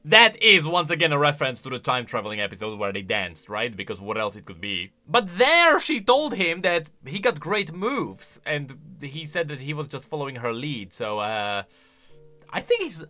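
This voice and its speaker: A man's voice: a little high, big pitch swings, moderate pace, pushed and bright, soft background music. A man giving casual, exclamatory reaction commentary, thinking aloud as a video creator.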